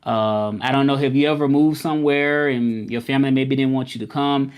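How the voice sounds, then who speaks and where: A man's voice: medium pitch, steady tone, brisk, clear, resonant tone. Laid-back man chatting live. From Memphis, United States. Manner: loose and conversational, drawling, often repeats himself. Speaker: livestream host. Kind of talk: casual live-stream chat with viewers.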